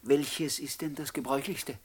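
A man's voice: mid-range voice, fairly even intonation, normal speed, lightly acted. An actor playing a cheerful, penniless con man in a 1960s radio comedy, with a playful, theatrical, mock-courteous manner. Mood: mock-polite, sly. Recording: faintly noisy 1960s radio recording.

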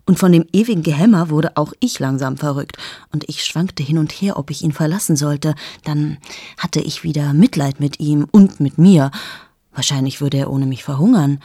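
An actress in her thirties playing a cynical, sharp-tongued journalist in a radio play monologue, speaking in sardonic, confiding asides to the listener. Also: low voice, moderate pace, slightly rough, dry recording, studio quality. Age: 30s. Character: cynical 38-year-old woman journalist, sharp-tongued, mocking.

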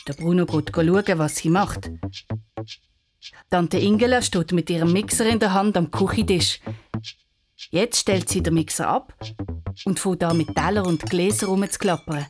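A woman with a slightly low voice tells a children's story in Swiss German. It is scripted narration, with music clearly playing behind her. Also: measured pace, long pauses, a little mellow.

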